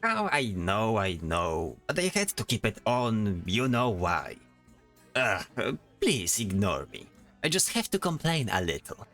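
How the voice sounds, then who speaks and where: A man playing a chatty, fussy, worrying bard with an expressive way of talking in a fantasy audio drama. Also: fairly low pitch, a little slow, faint music behind, exaggerated voice. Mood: whining, pained. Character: chatty, complaining, worrying bard disguised in knight's armor.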